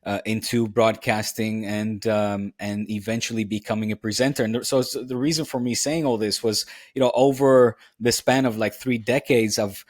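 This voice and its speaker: A man's voice: lowish voice, fairly even intonation, normal speed, few pauses, no echo, studio quality. A man from the Netherlands, a former TV presenter, sharing memories as a podcast guest in an earnest, reflective way with frequent fillers. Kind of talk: casual podcast conversation.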